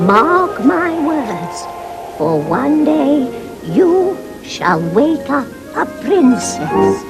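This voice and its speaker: An actress playing a kindly, mysterious old woman in a fairy-tale pantomime, gentle and portentous. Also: sits high, full character voice, low-quality recording.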